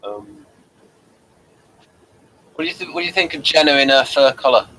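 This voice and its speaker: A man's voice: medium pitch, expressive, normal speed, poor audio. A man hosting a casual review chat, prompting with questions and short agreements. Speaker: podcast host asking questions. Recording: bright with faint background noise.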